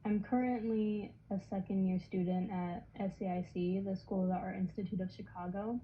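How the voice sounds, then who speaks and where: A nineteen-year-old woman art student answering casually with a wry, relaxed manner. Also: mid-range voice, moderate pace, muffled recording, slight background noise.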